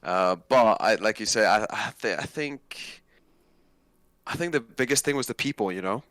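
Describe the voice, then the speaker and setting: A man's voice: fairly low pitch, expressive, moderate pace, a bit bright, no room sound, studio quality. A man in his twenties from Nigeria, speaking casually as a guest in an interview. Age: 20s.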